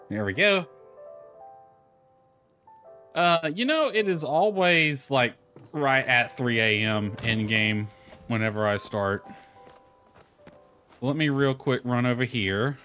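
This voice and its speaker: A man's voice: mid-range voice, expressive, slow, long pauses, pushed and bright, soft background music. A man chatting casually on a gameplay livestream, thinking aloud as he plays. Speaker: game streamer. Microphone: headset.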